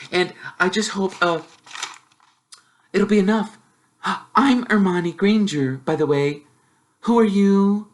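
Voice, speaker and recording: A man voicing a bossy, know-it-all young girl witch who chatters in a prim, brisk, self-assured way. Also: on the high side, voice pushed up, lightly acted. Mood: eager, boastful.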